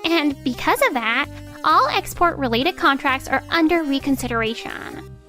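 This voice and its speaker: Woman playing very high-pitched, urgent office assistant. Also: animated, normal speed, bright, forceful tone, soft background music. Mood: alarmed. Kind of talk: manga dub voice acting.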